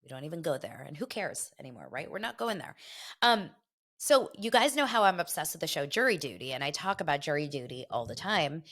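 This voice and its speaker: A woman's voice: sits low, sing-song, fairly bright tone. A middle-aged woman hosting her podcast in a casual, gossipy chat, a little congested from a head cold. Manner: chatty and gossipy, quick asides. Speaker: podcast host.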